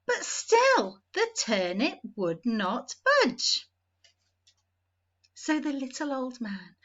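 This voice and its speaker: A woman reads a children's story with a very lively, swooping delivery. Her voice sits fairly high and she takes her time, leaning on key words for young listeners. The recording is slightly dull and lo-fi. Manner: deliberate, emphatic, repetitive build-up phrasing for young listeners. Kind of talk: animated children's storybook read-aloud.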